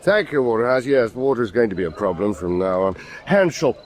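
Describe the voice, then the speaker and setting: An actor as a stern, formal officer. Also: male voice, medium pitch, animated, lightly acted. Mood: matter-of-fact. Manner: formal, measured, unyielding. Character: stern, formal British senior naval officer, cold and official. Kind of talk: period radio drama acting.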